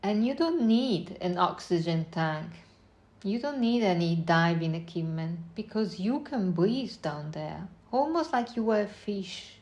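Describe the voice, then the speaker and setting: Slow-paced woman guiding a meditation. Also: fairly low pitch, animated. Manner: unhurried short phrases with long pauses, gentle instructing. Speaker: meditation guide. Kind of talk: guided meditation, slow spoken visualization.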